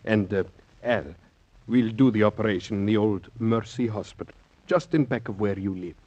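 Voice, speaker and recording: An actor playing a breezy, reassuring surgeon with a casual, matter-of-fact manner in a 1950s radio drama. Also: male voice, fairly low pitch, normal speed, background noise, natural voice. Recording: dull 1950s radio recording.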